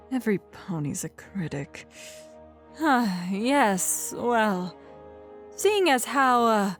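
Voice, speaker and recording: Woman as a slow, regal, hesitant pony ruler. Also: fairly high pitch, pitched above the performer's own voice. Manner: hesitant, measured, diplomatic. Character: composed, motherly regal pony ruler, awkwardly diplomatic. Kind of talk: cartoon fan fiction character reading.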